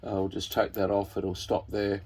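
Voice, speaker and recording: Low, husky Australian man, casual walkthrough. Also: monotone, moderate pace, a little mellow. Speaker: radio hobbyist presenter.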